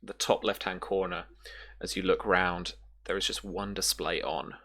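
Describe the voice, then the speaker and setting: A man working as game master, narrating a tabletop horror roleplaying game in a halting way, repeating words as he describes scenes. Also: low-pitched, steady tone, vocal fry, a bit bright, dry recording.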